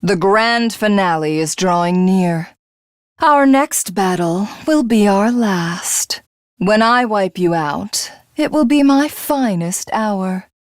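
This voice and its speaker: Slow-talking, haughty villainess. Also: female voice, medium pitch, expressive, no room sound, clean studio sound. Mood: menacing, haughty. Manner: pompous, formal, grandiose, condescending. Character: haughty female alien warlord craving godhood. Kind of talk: theatrical villain game voice acting.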